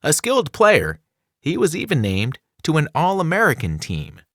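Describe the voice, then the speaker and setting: A man reading a children's nonfiction book aloud as scripted narration, enunciating clearly with a dramatic storytelling delivery. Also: fairly high pitch, huge pitch swings, normal speed, dry recording, clean studio sound. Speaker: audiobook reader.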